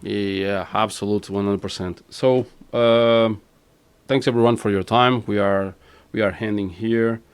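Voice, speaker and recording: A man's voice: fairly low pitch, fairly even intonation, measured pace, clear, resonant tone. A Portuguese-accented man, a native Portuguese speaker, hosting a casual podcast interview in English, often repeating words as he searches for them. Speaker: podcast host.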